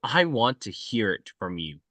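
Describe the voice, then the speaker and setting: A man's voice: fairly low pitch, sing-song, measured pace, sharp tone, no echo, voice pushed down, full character voice. A man playing an intense, suspicious agent on the run, interrogating and demanding in a screenplay table read. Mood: pressing, angry.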